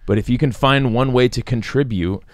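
A man in his thirties with a mid-pitched voice, chatting casually as a podcast co-host. The recording is dry and close, made in a studio. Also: brisk, no echo. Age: 30s. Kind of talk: casual conversational podcast talk. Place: small dark podcast studio. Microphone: close studio microphone on boom arm.

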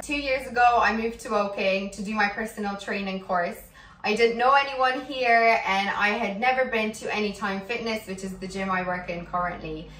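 A woman's voice: mid-range voice, pushed and bright. A woman in her twenties, originally from Slovakia and raised in Ireland, with Slovak as her first language, speaking earnestly to camera in a personal vlog. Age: 20s. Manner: earnest, candid, reflective. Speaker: fitness coach. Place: bright indoor room at home. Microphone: camera mic from a few feet away. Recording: roomy, a bit distant.

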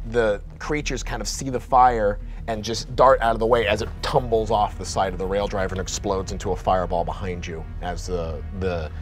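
Low-voiced man narrating a horror game. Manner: vivid, descriptive narration with frequent restarts. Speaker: game master. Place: studio set with a game table.

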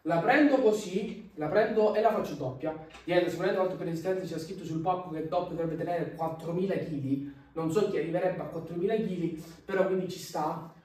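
Young male gym vlogger, chatty and higher-pitched, heard from afar in an echoey room. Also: brisk, darkish tone, distant mic. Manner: chatty, explains while pointing at gear. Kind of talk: casual how-to vlog. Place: large home gym with windows, indoors. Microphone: camera across the room.

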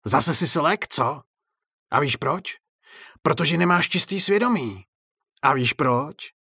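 Sinister circus clown ghost, voiced by a male reader: husky, gleefully vengeful, animated and raised in pitch. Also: no room sound, studio quality, full character voice. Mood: mocking. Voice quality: raspy, throaty laugh. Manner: mocking, sing-song scolding.

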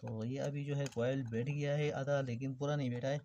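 A man's voice: medium pitch, little pitch movement, normal speed, muffled recording. A man giving an informal hands-on repair tutorial, explaining things step by step and addressing viewers as friends. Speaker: electrical repair tutorial host. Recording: clearly noisy background with clicks.

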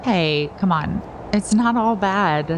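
An actress playing a kind, nerdy young assistant in an audio drama, casual, hesitant and teasing. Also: female voice, mid-range voice, slow pace, background noise, natural voice. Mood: teasing, concerned. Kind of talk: naturalistic audio drama acting.

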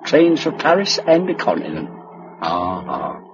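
A man's voice: low-pitched, wildly swooping pitch, a little slow, full character voice. An actor playing a blind, plain-spoken working man in a radio drama, chatty and colloquial. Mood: chatty, curious. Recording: muffled, noisy old radio recording.

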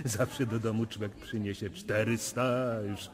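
An actor playing a self-satisfied, worldly gambling courtier with a suave, smug manner in a radio drama. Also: male voice, lowish voice, fairly even intonation, slow. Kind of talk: theatrical radio drama acting. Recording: reverberant hall, crowd behind.

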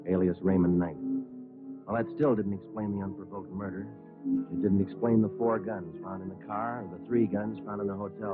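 Man playing a terse detective, deep voice, flat matter-of-fact delivery, vintage radio drama, dull lo-fi recording. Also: lightly acted. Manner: deadpan, clipped, matter-of-fact. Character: terse, unflappable male police detective, narrating his case. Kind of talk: 1950s radio crime drama, clipped narration. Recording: muffled old radio recording.

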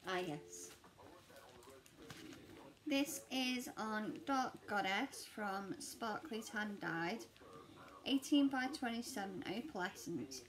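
A woman in her thirties with a slightly croaky voice, chatting casually at home about her stitching projects in a rambling, self-deprecating way. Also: mid-range voice, poor audio. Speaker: cross-stitch vlogger. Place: living room at home, seated on a sofa.

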